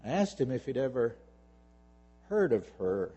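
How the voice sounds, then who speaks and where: A man telling a personal story out loud, halting and repeating words as he goes, with a long hall reverb around his voice. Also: mid-range voice, very animated, slightly rough, muffled recording.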